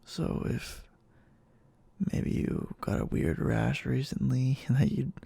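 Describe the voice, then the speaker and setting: Yandere boyfriend, played by a man, for ASMR roleplay: gravelly, breathy, slow, halting, anxiously devoted. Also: mid-range voice, fairly even intonation, dark tone, full character voice. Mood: reassuring, uneasy. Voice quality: breathy, husky half-whisper.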